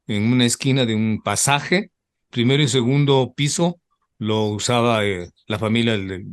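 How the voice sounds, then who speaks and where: An older man reminisces about his years as a radio announcer. His voice sits in an ordinary middle pitch for a man. He tells the story in a loose, rambling way and keeps trailing off with repeated asides. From Ecuador. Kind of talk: reminiscing in an interview.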